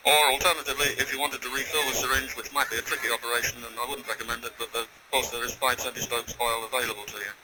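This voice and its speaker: A man's voice: medium pitch, level tone, racing, few pauses, crowd noise. A man speaking as a scientist on the ground, giving polite, precise technical instructions over radio. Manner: precise, polite, formal phrasing. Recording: noisy space radio link.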